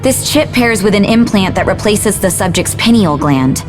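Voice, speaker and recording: A woman's voice: mid-range voice, lively intonation, moderate pace, music in the background, close to an everyday voice. An actress playing a clever, earnest woman scientist in a video game, dry and a little impatient. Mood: earnest, explaining.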